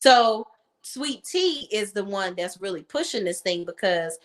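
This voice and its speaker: Woman chatting about reality tv, talk, mid voice, acts out quotes lower. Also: animated, measured pace. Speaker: co-host of a reality TV opinion panel. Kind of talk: casual chat.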